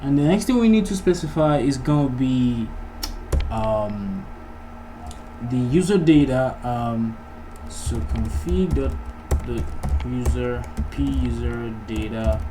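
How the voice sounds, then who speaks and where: Man, mid-pitched voice, informal coding tutorial host, thinking aloud, clearly noisy recording with keyboard typing. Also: takes it slowly, mellow. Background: background noise, computer keyboard typing.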